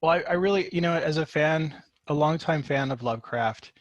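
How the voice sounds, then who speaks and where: A man's voice: fairly high pitch, a little fast, no echo, muffled recording. A man hosting a podcast interview, asking questions in a rambling way with restarts. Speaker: podcast host.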